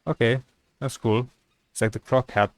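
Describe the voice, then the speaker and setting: A man giving casual, relaxed live commentary as he plays a game, muttering asides to himself. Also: medium pitch, normal speed, no echo. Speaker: gaming streamer.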